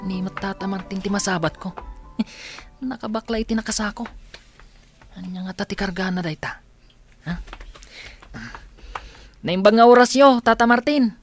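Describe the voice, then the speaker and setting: A woman's voice: medium pitch, normal speed, long pauses, faint music behind, close to an everyday voice. A woman with an Ilocano accent voicing a friendly, inquisitive village schoolboy in a radio comedy drama. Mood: curious, greeting.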